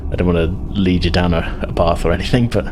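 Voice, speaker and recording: A man's voice: sits low, quick pace, noisy background. A man acting as game master, improvising conversational, hesitant narration for a tabletop roleplaying game. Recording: music behind the voice.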